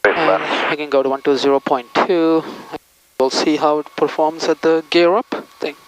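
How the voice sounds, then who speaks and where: A man's voice: a little high, fairly even intonation, normal speed, a little hiss or hum, poor audio. A man, a pilot, calling out pre-flight checks to himself in clipped, methodical phrases in a small plane cockpit.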